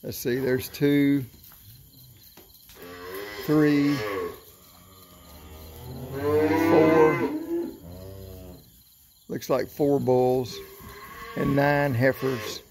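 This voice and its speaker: A middle-aged man ambles through a cattle barn at night, filming on his phone and counting calves in an easy, slow drawl. His voice sits in a plain middle register. Steady barn noise and shuffling livestock run under the recording. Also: mid-range voice. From Florida. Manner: slow, unhurried country talk with fillers, counting animals aloud. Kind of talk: casual walkthrough vlog. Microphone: handheld phone camera.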